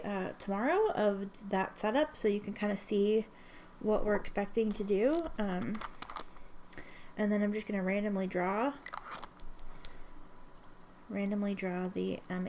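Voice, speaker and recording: Rambling sniffly woman with a gravelly edge. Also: mid-range voice, a little mellow, a little hiss or hum. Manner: rambling, self-correcting, with many ums. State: sniffly from allergies. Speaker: ink swatching vlogger. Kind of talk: casual chatty vlog.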